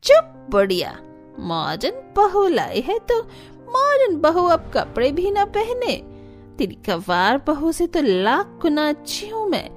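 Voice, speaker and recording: Cartoon role: brash young daughter-in-law, played by a woman, high and swooping, taunting, insolent, English words mixed in. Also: huge pitch swings, slow pace, faint music behind, heavily acted. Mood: scornful, defiant. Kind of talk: Hindi cartoon family drama acting.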